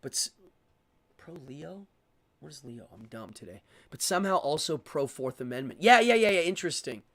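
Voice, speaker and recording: A man hosting a live stream, talking casually and opinionatedly as he answers chat, rambling and repeating words in quick bursts. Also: a little high, sing-song, a bit bright. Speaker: live-stream show host.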